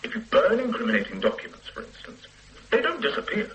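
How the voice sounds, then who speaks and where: An actor playing a pedantic, self-satisfied forensic scientist who lectures the police in a radio drama. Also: male voice, very high-pitched, very animated, fast, a bit bright, a little hiss or hum, exaggerated voice, low-quality recording. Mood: lecturing. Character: pompous middle-aged male forensic scientist. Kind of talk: 1970s radio drama acting.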